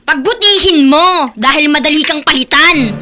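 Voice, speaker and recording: A woman's voice: high voice, moderate pace, lightly acted. An actress playing a bossy, impatient woman boss who nags and scolds sharply in a radio drama. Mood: scolding. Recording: noisy, clattering dishes behind. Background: clinking dishes and cutlery.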